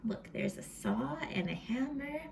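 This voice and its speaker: A woman with a fairly high voice and a noticeable creak reads a picture book to small children at a slow, careful pace. She is expressive, pausing to put questions to her young listeners and sometimes slipping into a whisper. There is faint noise behind her in a home recording. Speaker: read-aloud host for young children. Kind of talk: animated children's storybook read-aloud with chat to the listeners.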